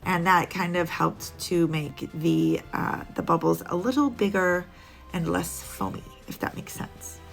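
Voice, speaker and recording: Slow, gravelly-voiced woman chatting about nails. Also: lowish voice, faint music behind. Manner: chatty, rambling, with frequent ums and little laughs. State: a little sniffly. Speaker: nail art vlogger. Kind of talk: casual tutorial voice-over.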